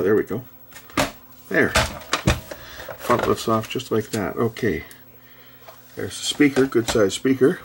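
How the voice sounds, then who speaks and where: A man giving casual hands-on repair commentary, thinking aloud and hesitating as he works, with a gravelly voice. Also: mid-range voice. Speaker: host repairing electronics. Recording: background noise with handling clicks.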